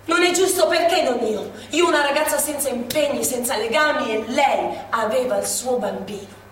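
A woman's voice: high-pitched, a little hiss or hum, natural voice. Woman playing a young partisan girl telling her story, breaks off and surges, far away in a big echoey theatre. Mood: anguished. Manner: halting, impassioned storytelling. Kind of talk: stage monologue. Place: theatre stage. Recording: echoey stage from far off with music.

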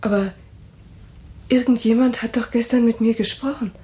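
An actress playing a shaken, confused young widow in a radio drama, asking bewildered questions. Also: female voice, a little high, fairly even intonation, normal speed, warm tone, close to an everyday voice.

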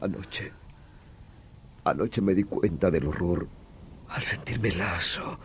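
An actor playing an anguished doctor confessing a killing, halting and theatrical, swinging from murmurs to outcries in old radio horror style. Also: male voice, deep voice. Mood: horrified grief. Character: anguished doctor, brother who confesses killing his vampire wife. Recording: noisy old radio drama recording.

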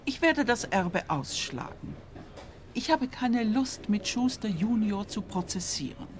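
A woman acts a wealthy, stern madam in a radio play. Her medium-pitched voice moves animatedly, yet stays brusque, knowing and unimpressed. Light café ambience sits behind her. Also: lively intonation, measured pace, close to an everyday voice. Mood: cool, brusque. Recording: clear background noise of a café scene.

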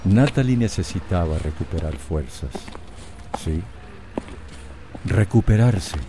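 A man's voice: low-pitched, expressive, slow pace, noisy background. A man narrating a radio serial as a grave, reflective storyteller. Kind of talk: scripted dramatic narration.

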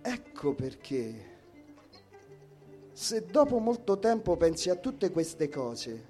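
Male poetry reader, unhurried and soft-toned, over light guitar accompaniment. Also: on the high side, big pitch swings. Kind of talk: slow poetry recitation over music. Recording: soft guitar music behind. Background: plucked guitar music.

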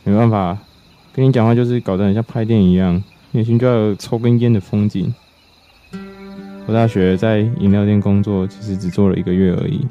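A man's voice: fairly low pitch, fairly even intonation, a little fast, warm tone, lightly acted. An actor playing a weary man in his twenties who shoulders his family's burdens, confiding and reflective, in a radio drama. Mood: confiding, wry. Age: 20s. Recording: slightly muffled, guitar music behind.

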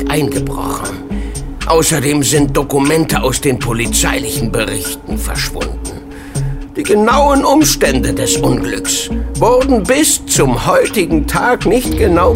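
An actor playing a knowledgeable father who explains mysteries in a deliberate, dramatic way in an audio drama. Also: on the high side. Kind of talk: German audio drama acting.

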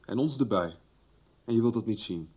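Blunt, sarcastic guy; husky voice. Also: lowish voice, expressive, moderate pace, a little mellow. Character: blunt, sarcastic young man.

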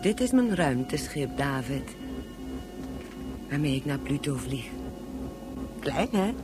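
A woman's voice: rumbling low, big pitch swings, takes it slowly, full character voice. A woman playing a boy's wistful mother, a departing space pilot, in a Dutch radio drama. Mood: wistful, defensive. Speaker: actress in a radio play. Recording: music under the voice.

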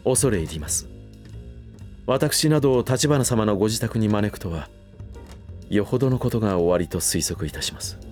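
A loyal manservant, acted by a man, speaks in a lowish voice with strongly varied intonation. He is formal and coldly courteous, distrust edging his politeness, in a voice drama over faint music. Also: expressive, normal speed, long pauses, darkish tone, lightly acted. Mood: stiffly polite. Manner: formal, clipped servant politeness with barbed edge. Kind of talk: Japanese voice drama acting.

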